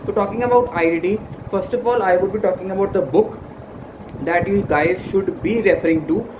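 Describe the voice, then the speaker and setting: High-voiced Indian man, halting advice. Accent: Indian English. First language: Hindi. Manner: earnest, halting, frequent fillers and restarts. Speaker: exam-prep YouTuber. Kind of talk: casual study-advice talk to camera. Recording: echoey room with background noise.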